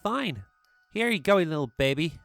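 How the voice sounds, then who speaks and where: A woman's voice: low-pitched, animated, moderate pace, vocal fry, no echo, faint music behind. A woman playing a young village boy, a teasing older brother, with an emphatic way of talking in a horror story. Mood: mocking.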